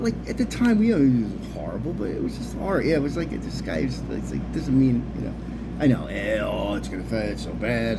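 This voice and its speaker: A middle-aged man with an American accent telling a casual, rambling story in loose, slangy, sweary talk. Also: mid-range voice. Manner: animated slang-heavy talk with frequent swearing. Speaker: storyteller, video host. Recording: clearly noisy background hum.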